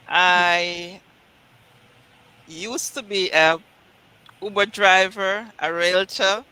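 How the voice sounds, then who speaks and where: A middle-aged woman guest on a livestream chat, nervous and halting, laughing and restarting as she speaks. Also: fairly low pitch, sharp tone, low-quality recording. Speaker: guest, business owner.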